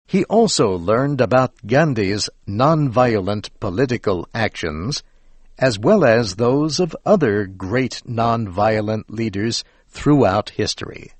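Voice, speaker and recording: Slow American male narrator, very animated pitch. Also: no room sound. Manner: measured, careful enunciation. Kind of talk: slow scripted educational narration for English learners.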